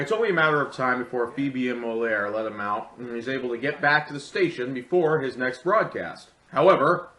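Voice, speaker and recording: Theatrical sarcastic guy reviewing old movies, super lively delivery, roomy echo. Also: fairly high pitch. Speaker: film reviewer, host. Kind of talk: comedic scripted review commentary. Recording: echoey room.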